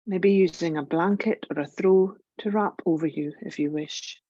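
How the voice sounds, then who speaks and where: A woman guiding a yoga relaxation meditation in a gentle, soothing, measured way, leaving long pauses. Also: on the low side, no echo, highs cut off. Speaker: yoga nidra meditation guide.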